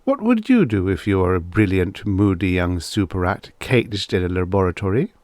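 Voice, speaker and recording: A man with a fairly low voice narrates an audiobook of old science fiction tales. The sound is very dry and close, with little room around his scripted storytelling. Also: sing-song, moderate pace, a little mellow, no echo.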